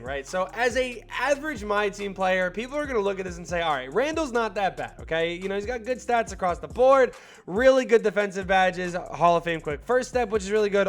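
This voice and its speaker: A young man with a slightly stuffed-up voice giving casual, slangy video game commentary. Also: sits high. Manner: hyped, slangy and rambling, with filler words. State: still a little stuffed up from a cold. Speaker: gaming YouTuber.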